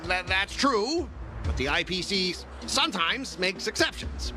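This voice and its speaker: A self-important corporate fleet officer from a video game. His voice is high and swings widely, pompous and quick to take offence, with music playing behind him. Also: big pitch swings, pushed and bright, exaggerated voice. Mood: defensive, evasive. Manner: indignant, evasive, pompous.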